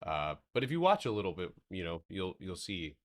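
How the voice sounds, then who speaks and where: A man with a very low, soothing voice hosts a live stock trading stream. He rambles in a loose, casual way and swears freely as he walks through the charts. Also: sing-song, fairly quick.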